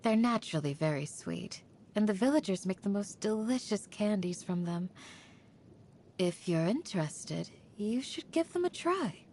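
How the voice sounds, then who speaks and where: A woman voicing a calm, protective young guardian of a desert village in a video game, gentle and earnest. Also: medium pitch, measured pace, a little hiss or hum. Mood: calm, warm. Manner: gentle, earnest, composed in talk. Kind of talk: video game character voice lines.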